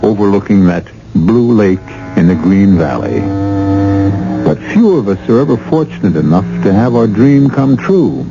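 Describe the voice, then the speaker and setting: A man playing the host of a radio mystery, narrating with deliberate, dramatic phrasing. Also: lowish voice, sing-song, gravelly. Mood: measured, dramatic. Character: measured male storyteller introducing an eerie tale. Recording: dull old radio recording, music underneath.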